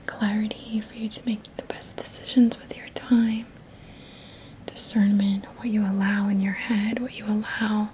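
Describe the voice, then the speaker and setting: Slow whispering woman, ASMR healing session. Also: mid-range voice, darkish tone, rough recording. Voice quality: breathy, unvoiced whisper. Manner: slow, soothing, repeats words and phrases in a trailing murmur. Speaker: Reiki teacher and ASMR host.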